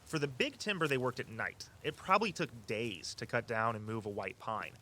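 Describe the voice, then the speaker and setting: An actor playing an eager, earnest walking-tour guide who tells local history with a storyteller's flair. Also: male voice, medium pitch, fairly quick, pushed and bright, slight background noise, lightly acted. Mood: casual, earnest. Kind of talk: audio drama dialogue, storytelling tour patter.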